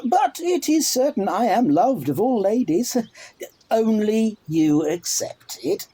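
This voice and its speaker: A man's voice: high-pitched, very animated, slow, mellow, breathy edge, exaggerated voice. A man playing a cocky bachelor soldier, wry and mock-serious, in a Shakespearean radio play. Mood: cocky banter. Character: cocky, bantering bachelor soldier, scornful of love.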